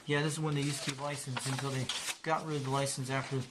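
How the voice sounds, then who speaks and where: A man's voice: medium pitch, flat delivery, fast talker. A man presenting a casual, unscripted hobby show-and-tell in a rambling, repetitive way. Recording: noticeable background noise.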